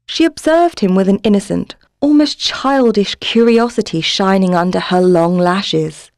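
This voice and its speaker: A woman's voice: medium pitch, a little slow, a little mellow, no echo, clean studio sound. A woman narrator reading a scripted story in a literary storybook style.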